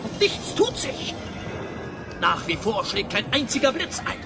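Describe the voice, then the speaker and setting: Crazed pompous wizard villain, voice high and swooping all over, music behind. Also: male voice, very animated. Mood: indignant, baffled. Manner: theatrical, pompous, long drawn-out cries. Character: raving megalomaniac sorcerer, male master of time. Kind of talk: German audio drama acting.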